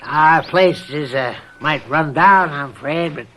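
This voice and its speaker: An actor playing a jovial but bossy and miserly old farmer uncle of 69 in a radio mystery drama, folksy and rambling. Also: male voice, on the high side, a little slow, sharp tone, slight background noise. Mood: folksy, welcoming. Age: older. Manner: folksy, rambling, chuckling hard bargainer.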